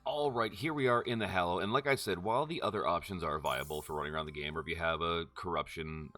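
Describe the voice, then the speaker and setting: A man casually narrating a game tutorial, chatty and friendly, checking in with quick asides. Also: bright, forceful tone. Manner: chatty, jumps high in pitch for quick check-ins. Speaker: gaming tutorial host.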